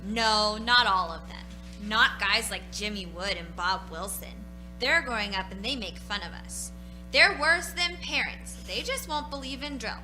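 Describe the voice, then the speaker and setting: A woman's voice: medium pitch, measured pace, bright, forceful tone, soft background music, poor audio. A woman playing an eager, evasive young daughter in a radio play, with slangy, childish talk. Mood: scornful. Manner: slangy, childlike, dismissive of doubters.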